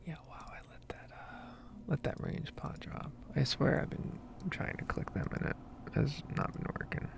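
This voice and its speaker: Softly whispering woman with creaky fry. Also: low voice, expressive, moderate pace. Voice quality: breathy, soft-spoken whisper with heavy vocal fry. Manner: casual, rambling. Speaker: gaming streamer and ASMR creator. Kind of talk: whispered ASMR gameplay ramble.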